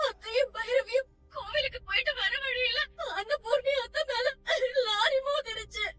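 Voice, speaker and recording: A woman's voice: very high voice, normal speed, pushed and bright, distant mic. An actress in a TV serial playing a distraught, devout young woman who speaks through tears. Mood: sobbing. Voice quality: sobbing, shaky. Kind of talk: TV serial acting.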